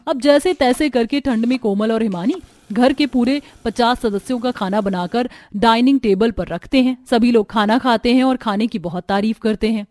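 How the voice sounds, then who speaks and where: High-voiced woman narrating a story. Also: normal speed, hardly any pauses, a little mellow, no echo. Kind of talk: scripted cartoon story narration.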